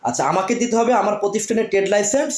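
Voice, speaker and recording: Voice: mid-range voice, racing, a little mellow, a little hiss or hum. A Bangladeshi interviewer asking questions in a product promo, repeating and summing up details to confirm them. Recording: echoey room.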